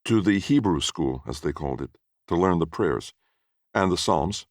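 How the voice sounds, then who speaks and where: A man's voice: low-pitched, animated, no echo, clean studio sound. A man doing a cautious, polite young guest. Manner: polite, measured replies.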